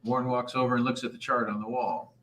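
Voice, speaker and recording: A man's voice: medium pitch, flat delivery, quick pace, a bit bright, low-quality recording. A man directing a live table read and reading out the scene descriptions in an informal, directive way. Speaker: director and stage-direction reader.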